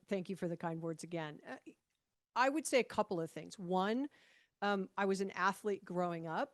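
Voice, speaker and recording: A woman in her fifties from Hawaii, a podcast guest telling stories about her past in a relaxed, animated way, with frequent restarts and light laughter. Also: mid-range voice. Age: 50s. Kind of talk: conversational podcast interview.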